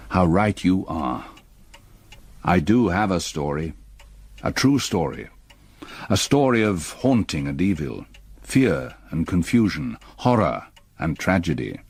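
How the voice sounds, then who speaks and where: An actor playing a middle-aged, haunted and reserved English gentleman stepfather in a radio drama, with a husky voice and formal, hesitant manner. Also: low voice, full character voice.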